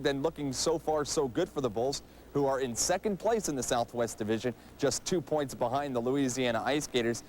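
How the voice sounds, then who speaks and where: A man's voice: medium pitch, a little mellow, a little hiss or hum. A man presenting a hockey show in a polished announcer delivery, speaking to viewers and interviewing. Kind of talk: upbeat scripted TV presenting and interviewing.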